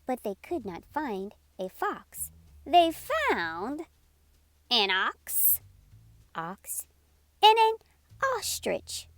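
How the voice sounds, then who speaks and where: A woman narrating a children's read-aloud in a deliberate, sing-song way as storytime host. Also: on the high side, huge pitch swings, dry recording.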